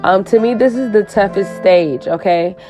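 A woman life coach with a raspy voice, giving a spiritual coaching talk and tagging her lines with "okay?". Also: medium pitch, moderate pace, warm tone. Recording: guitar music playing under the voice.

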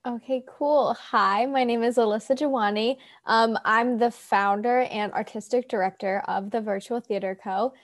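A woman's voice: on the high side, fairly even intonation, moderate pace, hardly any pauses. Chatty eighteen-year-old woman, lots of fillers. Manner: chatty, filler-heavy storytelling. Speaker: founder of a theater company. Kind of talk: casual interview answer.

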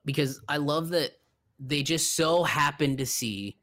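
A man's voice: a little high, unhurried, fairly bright tone. A man co-hosting a podcast, talking casually about film in an informal way with some swearing. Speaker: podcast co-host.

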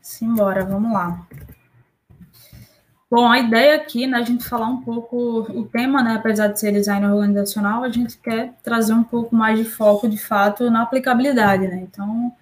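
A woman consultant speaking casually on a webinar, relaxed and hesitant, often repeating words. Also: a little high, normal speed, low-quality recording. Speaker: consultant and Scrum Master.

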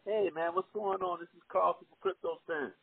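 A young man hosting a podcast, reading sponsor spots with energetic hype and chatting casually with his guest. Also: normal speed, vocal fry, phone-quality sound. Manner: energetic, hype-driven pitch with repeated "all right". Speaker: podcast host. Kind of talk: casual podcast ad reads and interview.

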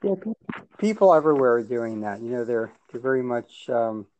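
A man raised with Yiddish and Hebrew, chatting casually in a plain, repetitive, low-key way. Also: very animated, normal speed, mellow, highs cut off, a little hiss or hum. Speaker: podcast co-host. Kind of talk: casual chat.

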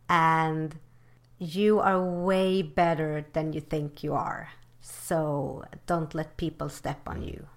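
A woman co-hosting a casual podcast, speaking in an encouraging, playful way. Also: low voice. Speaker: podcast co-host. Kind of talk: casual conversation.

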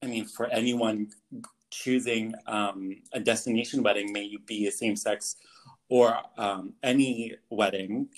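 A young Canadian man, a photographer, answers interview questions in a casual, earnest way, halting often with fillers and restarts. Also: fairly low pitch, fairly even intonation, poor audio. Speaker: guest photographer.

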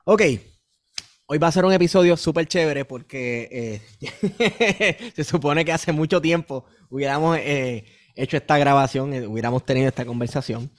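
A young man with a Puerto Rican accent hosting a casual podcast, chatty and relaxed, with many fillers. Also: fairly high pitch, normal speed. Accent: Puerto Rican Spanish. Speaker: podcast host.

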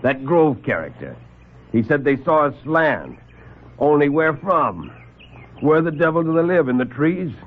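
A man's voice: on the high side, very animated, a little slow. An actor playing a wary, puzzled male airman who speaks in a plain, questioning way, in an old radio drama. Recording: tinny lo-fi old radio broadcast.

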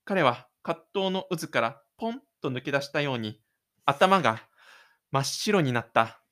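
A man's voice: mid-range voice, lively intonation, unhurried, fairly bright tone. A man narrating a scripted audio drama in a dramatic storytelling style.

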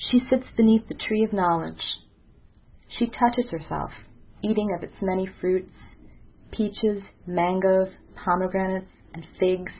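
A narrow, phone-like recording carries a woman's poetry reading. Her voice is mid-pitched, and she recites in a literary style. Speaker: poetry reader.

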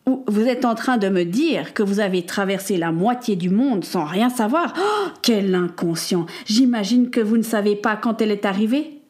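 A woman's voice: mid-range voice, expressive, moderate pace, a little mellow, close to an everyday voice. A woman voicing a curt, dismissive male immigration clerk. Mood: brusque. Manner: brusque, dismissive.

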